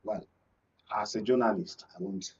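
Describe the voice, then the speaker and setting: A man with a Nigerian accent and a raspy voice, commenting as a journalist on a panel. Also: lowish voice, moderate pace, highs cut off, slight background noise. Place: radio and TV studio.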